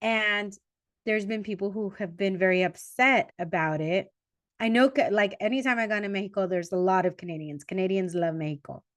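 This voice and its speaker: A woman in her forties hosting a podcast in a relaxed interview chat, talking with quick asides and self-corrections. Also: mid-range voice, dry recording, clean studio sound. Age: 40s. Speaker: podcast host.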